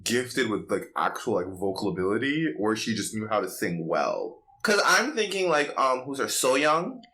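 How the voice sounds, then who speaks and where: A man's voice: fairly low pitch, sing-song, normal speed, pushed and bright. A man co-hosting a casual pop culture podcast, chatty and opinionated, peppering his talk with "like". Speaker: podcast co-host.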